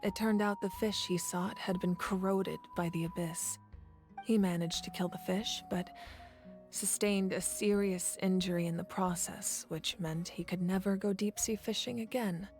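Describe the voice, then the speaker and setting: An actress plays a proud, composed woman warrior in a video game. She tells stories in a measured, thoughtful manner at a medium pitch, with faint music underneath. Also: steady tone, a little mellow, close to an everyday voice. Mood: reflective. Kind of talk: video game story dialogue.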